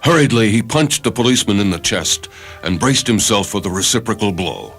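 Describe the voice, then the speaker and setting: Male story reader, low voice, expressive range, dramatic narration with music beneath. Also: brisk. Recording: music under the voice. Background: background music.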